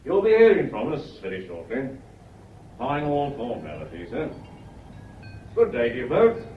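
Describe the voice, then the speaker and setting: A man playing a shrewd, courteous British police inspector in a radio drama, speaking in a measured, deferential, explanatory way. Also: mid-range voice, huge pitch swings, a little hiss or hum. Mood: polite, reflective. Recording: dull, lo-fi old radio recording.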